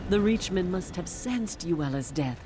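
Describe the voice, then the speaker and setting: A woman's voice: lowish voice, lively intonation, a little slow, background noise. An actress playing a wry, earthy forest witch in a video game, with a casual, teasing manner. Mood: resolute. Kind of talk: video game character dialogue.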